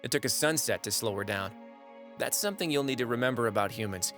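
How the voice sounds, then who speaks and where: A man's voice: medium pitch, animated, fast talker, a bit bright, natural voice. Man playing a wry storyteller over music. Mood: sly, musing. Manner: wry, conversational, sardonic. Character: wry, folksy non-human male narrator observing humans. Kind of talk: audio drama narration. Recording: music under the voice. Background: prominent music.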